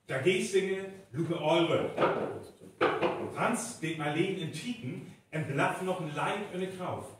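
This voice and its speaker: Echoey, unhurried male stage narrator, high-pitched. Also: animated. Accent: North Frisian. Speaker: narrator in a live radio play. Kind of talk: scripted storytelling narration. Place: live performance venue. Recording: echoey live stage recording, distant, faint noise.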